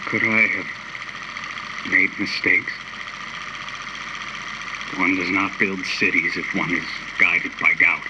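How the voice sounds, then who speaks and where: A proud, unyielding ruler of a city acted by a man speaks slowly and grandly, as in a radio play. The delivery is deliberate and oratorical, over a clearly noisy old radio-style recording. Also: low voice. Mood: brooding, resolute. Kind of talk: radio drama acting.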